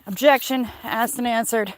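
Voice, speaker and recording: A woman's voice: on the high side, fairly even intonation, normal speed. Playful woman chatting, noisy outdoor recording. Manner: playful, wry, salty-tongued rambling. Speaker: livestreamer hosting a walk-along. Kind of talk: casual livestream chat while walking. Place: outdoors on a neighborhood walk.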